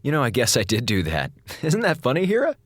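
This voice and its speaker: A man's voice: medium pitch, wildly swooping pitch, a little fast, no echo. An actor playing a wisecracking, sarcastic male communications officer who rambles and jokes through his log. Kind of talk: audio drama log narration.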